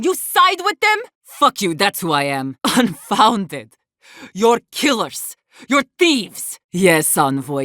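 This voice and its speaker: A low-pitched, embittered warden leader. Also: female voice, fairly bright tone, dry recording, clean studio sound. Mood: furious, defiant. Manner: blunt, profane, earnest. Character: embittered, defiant woman warden leader, weary but fierce. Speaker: video game voice actor. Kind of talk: dramatic game dialogue.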